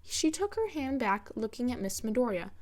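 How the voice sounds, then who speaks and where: A woman's voice: fairly high pitch, sing-song, normal speed, a little mellow. A woman reading fan fiction aloud as scripted story narration. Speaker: fan fiction audiobook reader.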